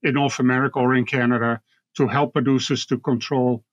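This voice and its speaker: Dutch accent guy explaining stuff like a professor, measured n halting, dry kinda dull audio. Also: mid-range voice, level tone, no room sound. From Netherlands. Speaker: guest expert, university professor. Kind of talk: explanatory interview talk.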